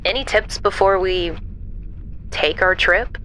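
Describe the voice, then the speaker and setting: A woman's voice: on the low side, takes it slowly, pushed and bright, slight background noise, rough recording. An actress playing a tough, sardonic, salty-tongued woman bent on revenge in a horror audio drama. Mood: wry, curious.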